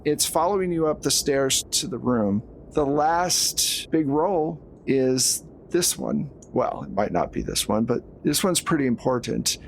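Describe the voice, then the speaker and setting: Slow-paced male horror game master. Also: medium pitch, expressive, creaky, a little hiss or hum. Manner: casual, conversational storytelling. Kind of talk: narrating a horror tabletop game.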